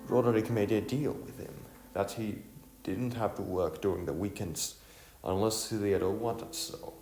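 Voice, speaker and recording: A man reading scripted storybook narration in a halting way, stumbling over words and repeating them. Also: low-pitched, vocal fry. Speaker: narrator of an audiovisual novel. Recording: echoey room, music underneath.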